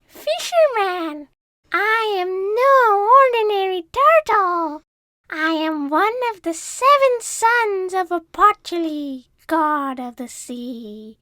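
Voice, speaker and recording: Storybook magical turtle: piercingly high, bright, regal proclamations delivered slowly. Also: female voice, animated, no room sound, full character voice. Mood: grand, solemn. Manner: grand, theatrical proclaiming. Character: magical green sea turtle, regal son of a sea god. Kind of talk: read-aloud storybook character voice.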